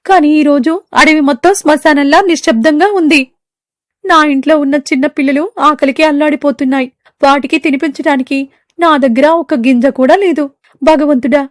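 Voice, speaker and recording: A woman's voice: sits high, dry recording, clean studio sound, natural voice. A woman voicing a weary, starving crow. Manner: weary, lamenting. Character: exhausted hungry crow parent.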